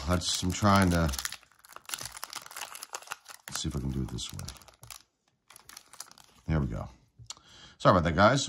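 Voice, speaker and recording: Stuffy-nosed guy hosting a card channel, lowish voice, chill pack-opening chatter at a table. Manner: relaxed, rattles off player names. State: congested, getting over a cold. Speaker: card-collecting channel host. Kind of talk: casual pack-opening commentary. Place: indoors at a table.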